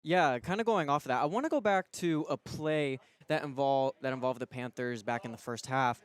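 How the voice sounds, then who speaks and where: A man's voice: mid-range voice, normal speed, smooth. A man giving conversational live commentary as a co-commentator on sports radio.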